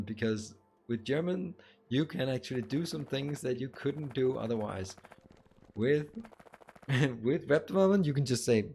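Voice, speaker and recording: A man's voice: medium pitch, a little mellow. A man streaming a game tutorial, chatting casually with viewers and thinking aloud as he builds.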